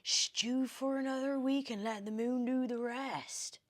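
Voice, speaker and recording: A British woman voicing a mysterious, excitable old man in a storybook, speaking in a theatrical, commanding, drawn-out way. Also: sits high, voice pushed up. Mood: excited, gleeful. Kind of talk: animated storybook character reading.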